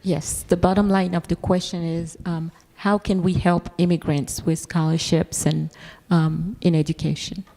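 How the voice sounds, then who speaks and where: A woman's voice: lowish voice, level tone, normal speed, dark tone, big-room sound. A woman moderating a candidate forum, politely relaying questions and keeping things organized.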